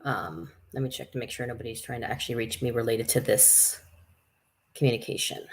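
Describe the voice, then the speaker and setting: A woman's voice: rumbling low, a little fast. A woman hosting a live story time for children, reading aloud with informal, chatty asides. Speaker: camp staff story time host.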